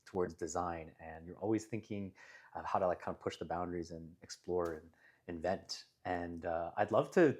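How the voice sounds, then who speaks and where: Low-voiced male podcast host. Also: normal speed, a little mellow. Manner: friendly, rambling questions with fillers. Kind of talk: casual interview chat.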